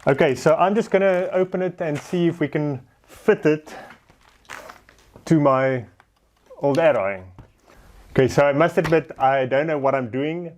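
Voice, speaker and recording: A man's voice: on the high side, darkish tone. A man giving a casual, unscripted product test as a vlogger, thinking aloud in an informal, self-deprecating way. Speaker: vlogger testing motorcycle gear. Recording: noisy motorbike and outdoor background noise.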